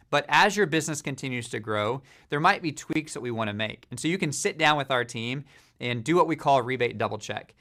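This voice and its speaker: A man giving a steady, businesslike corporate explainer about a supplier program, with frequent fillers. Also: medium pitch. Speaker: company leader presenting a supplier program.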